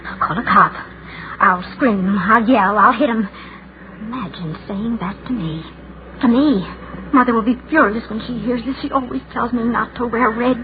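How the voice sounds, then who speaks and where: A woman's voice: on the high side, normal speed, lightly acted. Woman playing fretful lonely spinster, self-arguing inner monologue, 1940s radio drama, noisy narrow-band recording. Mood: flustered, indignant. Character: anxious, lonely spinster's inner voice, torn by guilt.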